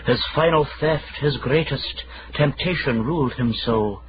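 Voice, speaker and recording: An actor playing a florid amateur poet, declaiming grandiose verse pompously in a radio drama. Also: male voice, mid-range voice, a little slow, music in the background, lightly acted. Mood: grand. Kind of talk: period radio drama acting. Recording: muffled, noisy vintage radio recording.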